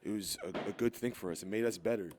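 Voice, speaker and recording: Male wrestler, sincere interviewee, lower register, easygoing. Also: quick pace, darkish tone. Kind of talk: casual interview.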